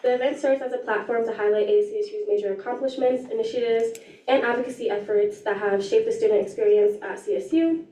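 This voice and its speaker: A young woman in student government, her voice a little raspy, giving an earnest announcement at a meeting with frequent ums. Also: a little high, quick pace, mellow. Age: young adult. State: slightly raspy voice. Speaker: student government chief of staff. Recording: echoey room, distant mic.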